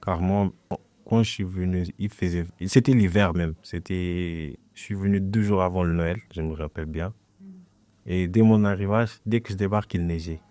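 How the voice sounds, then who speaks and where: Young man, from Portugal, Portuguese native, very low voice, mellow tone, hesitant, dry sound. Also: steady tone, moderate pace, no echo. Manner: hesitant, restarts phrases. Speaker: guest. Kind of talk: casual conversation.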